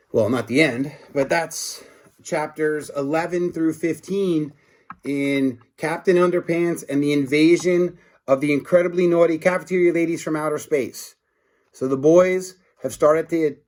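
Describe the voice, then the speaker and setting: A man reading a story aloud to children in an expressive, dramatic way, adding casual asides. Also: on the high side. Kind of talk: animated read-aloud narration with asides.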